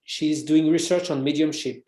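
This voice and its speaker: A man's voice: fairly high pitch, fairly even intonation, moderate pace, mellow, reverberant. A man from France speaking English with a French accent as an interview guest, hesitant, with many restarts. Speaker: guest, researcher and author. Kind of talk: casual interview conversation.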